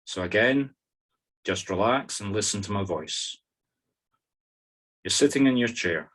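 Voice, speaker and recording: Middle-aged man, Scottish accent, lowish pitch, guided meditation, informal instructions, wry humour. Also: expressive, a little slow, a bit bright. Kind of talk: spoken guided meditation to a group.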